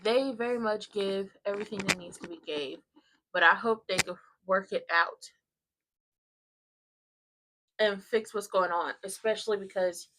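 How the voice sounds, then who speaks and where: A woman's voice: medium pitch, bright, forceful tone, low-quality recording. A woman hosting a live stream who talks in a rambling, chatty way straight to her viewers while she is congested. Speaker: live-stream host of a soap watchalong. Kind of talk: casual live-stream chat.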